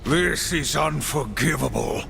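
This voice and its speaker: A man's voice: fairly high pitch, a little slow, gravelly, fairly bright tone, faint music behind, exaggerated voice. An actor playing a wrathful, duty-bound horseman of the apocalypse in a game, stern, solemn and terse. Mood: outraged. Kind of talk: video game cutscene acting.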